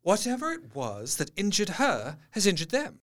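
Educated doctor, high-pitched, very animated. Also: male voice, normal speed, heavily acted. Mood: puzzled, matter-of-fact. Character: educated, skeptical male doctor, precise and incredulous. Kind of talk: dramatised diary narration, audio drama.

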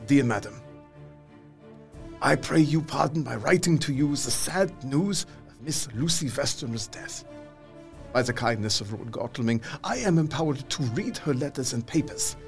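Theatrical professor, creaky and animated. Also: male voice, mid-range voice, faint music behind. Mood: grave, pleading. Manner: theatrical, halting foreign phrasing. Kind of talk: radio play acting, dramatic monologue.

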